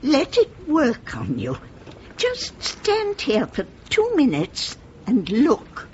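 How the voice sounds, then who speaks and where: Radio play, muffled recording: genteel, eager upper-class Englishwoman in her eighties, high-pitched and very animated. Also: slow, a little hiss or hum, exaggerated voice. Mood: serene, encouraging. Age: older. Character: genteel, spirited, enthusiastic 84-year-old English lady. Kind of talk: British radio play acting. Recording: dull, lo-fi old radio recording.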